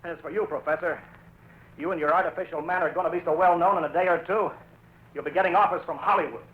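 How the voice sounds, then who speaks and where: An actor playing a brash, cocky American tabloid reporter with slangy, sneering delivery in 1940s radio style. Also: male voice, fairly high pitch, steady tone, fast talker, close to an everyday voice. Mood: sneering defiance. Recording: muffled, noisy old radio recording.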